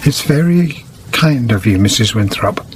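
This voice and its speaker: An actor playing a reclusive, polite weaver in a radio drama, hesitant and reserved, saying little. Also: male voice, mid-range voice, lively intonation, slight background noise, close to an everyday voice. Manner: halting, reserved, few words.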